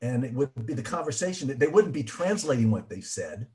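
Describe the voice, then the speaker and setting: A man's voice: medium pitch, sing-song, fairly quick, mellow. A middle-aged man from Miami interviewing a guest, asking long, rambling questions in a chatty way. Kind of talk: casual interview.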